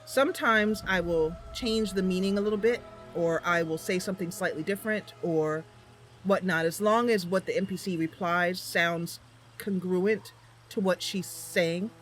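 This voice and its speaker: A woman's voice: fairly low pitch, moderate pace, soft background music. A woman hosting a gaming channel, casually commentating on her roleplay, thinking aloud and explaining as she goes.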